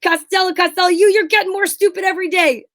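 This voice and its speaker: A woman's voice: extremely high pitch, fairly even intonation, fast, fairly bright tone, pitched above the performer's own voice. A woman playing the bossy, exasperated straight man of a 1940s-style radio comedy duo.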